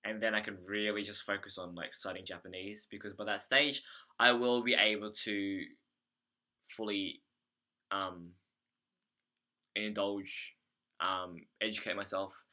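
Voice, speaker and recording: Bright-voiced Australian man talking off the cuff. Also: on the low side, level tone, a little slow, long pauses. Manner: hesitant, rambling, self-correcting. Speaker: vlogger, English teacher abroad. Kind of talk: unscripted video diary.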